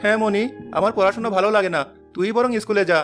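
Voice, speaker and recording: A woman's voice: medium pitch, fairly even intonation, fast talker, lightly acted. A woman voicing a sad, humble young village boy in a children's fairy-tale cartoon, earnest and pleading. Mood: meek, pleading. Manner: plaintive, pleading. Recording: music under the voice.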